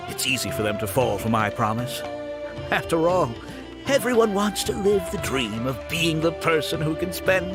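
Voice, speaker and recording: A scheming architect from a video game, smug and self-justifying. His voice sits a little high and rises and falls dramatically, condescending throughout. Clear music plays behind him. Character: smug, scheming male architect running a scam academy. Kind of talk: theatrical video game voice acting. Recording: game audio with background music.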